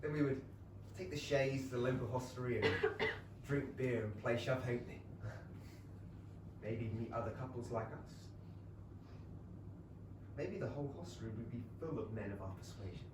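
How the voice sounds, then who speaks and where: A man playing an amiable, hesitant, romantic young Regency gentleman in a staged comedy reading, polite and wistful. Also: medium pitch, normal speed, pauses often, lightly acted. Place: small theatre stage. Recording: distant mic, echoey room, loud background noise.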